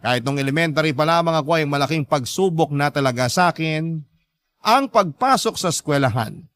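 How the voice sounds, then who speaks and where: A man with a Filipino accent narrating a scripted letter as a radio drama host, in a measured storytelling manner. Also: on the high side, expressive, a bit bright, no room sound.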